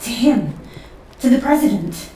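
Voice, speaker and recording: Woman acting an intent, mellow investigator, echoey. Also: a little high, animated, measured pace, a little hiss or hum. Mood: entranced. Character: intent, uncanny female investigator sensing disturbances in time. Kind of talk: fan-made audio drama acting.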